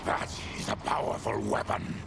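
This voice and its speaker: An actor playing a grave, proud, afflicted warrior from another realm in a video game, solemn and gravelly. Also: male voice, normal speed, noisy background, natural voice. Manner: solemn, formal. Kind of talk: video game cutscene acting. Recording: loud music behind.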